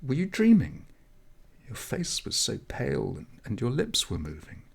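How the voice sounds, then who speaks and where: A man's voice: medium pitch, wildly swooping pitch, moderate pace, creaky, slightly rough, pitched above the performer's own voice, exaggerated voice. A man reading a storybook, voicing a kind, curious, slightly anxious village girl who speaks earnestly. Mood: concerned, curious. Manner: urging, questioning, earnest.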